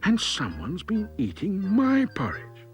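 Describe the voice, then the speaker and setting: A man voicing a cross, middle-sized mother bear from a storybook, speaking slowly and fussily. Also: high voice, wildly swooping pitch, faint music behind, voice pushed up, exaggerated voice. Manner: slow, cross, fussy. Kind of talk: storybook character voice.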